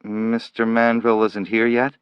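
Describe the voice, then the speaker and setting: A man's voice: on the low side, little pitch movement, moderate pace, clean tone, no echo, lo-fi sound, close to an everyday voice. A man voicing a meek, bored man who talks hesitantly and mumbles, in a story reading.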